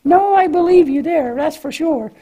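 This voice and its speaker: Very high voice, cheerful naive girl lamb puppet, childlike, hesitant, mellow, children's show. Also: expressive, normal speed. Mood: curious.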